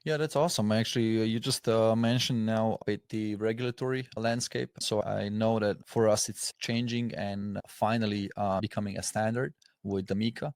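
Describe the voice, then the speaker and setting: A male interviewer with a slightly low voice, recorded dry. He talks casually and loosely, circling around his questions and rewording them as he goes. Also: fairly even intonation, moderate pace, hardly any pauses, darkish tone, dry recording. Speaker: interviewer, host.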